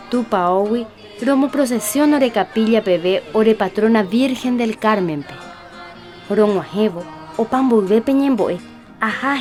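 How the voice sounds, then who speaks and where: A woman's voice: mid-range voice, darkish tone, close to an everyday voice. A woman playing a caring, busy catechist in a Paraguayan radio soap opera, with a Paraguayan accent. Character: Juliana, caring, busy catechist woman organizing a village festival.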